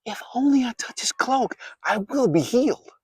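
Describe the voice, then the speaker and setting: Guy playing a desperate beggar for mercy, strained high voice, earnest and pleading, really dry recording. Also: lively intonation, moderate pace, a little mellow, breathy edge, dry recording, full character voice. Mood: hushed hope. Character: desperate supplicants begging for healing and mercy.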